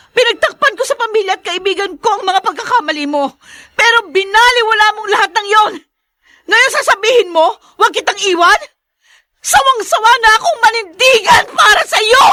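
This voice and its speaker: An actress with a Filipino accent playing an exhausted, long-suffering young wife who pleads and scolds emotionally in a Tagalog radio drama. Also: female voice, extremely high pitch, expressive, normal speed, pushed and bright, full character voice. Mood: bitter, fed up.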